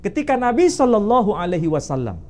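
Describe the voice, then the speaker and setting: A male Islamic teacher explains religious matters in a sit-down interview, his voice swinging widely in pitch. He keeps posing questions and answering them himself, walking the listener through each point. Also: very animated, quick pace, a little mellow. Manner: quizzes the interviewer with rhetorical questions.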